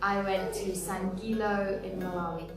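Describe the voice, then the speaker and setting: A woman in her twenties calmly and articulately answering interview questions. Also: medium pitch, a little slow, over music. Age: 20s. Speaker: returned volunteer. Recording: echoey room from far off.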